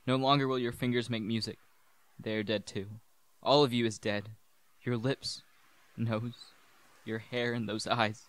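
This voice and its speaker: A teenage male student actor playing a brooding, obsessed widower in a radio play, with intense, halting, melodramatic delivery. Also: mid-range voice, steady tone, smooth, natural voice. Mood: morbid grief. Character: brooding, obsessed widower addressing his dead wife.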